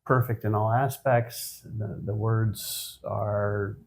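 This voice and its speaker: A man in his fifties answers questions on a podcast, his low voice unhurried and thoughtful. He pauses, restarts, and fills gaps with ums. Faint noise sits under the recording. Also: flat delivery. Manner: measured, reflective, with frequent ums and restarts. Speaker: guest, managing partner of an accounting firm. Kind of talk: conversational podcast interview.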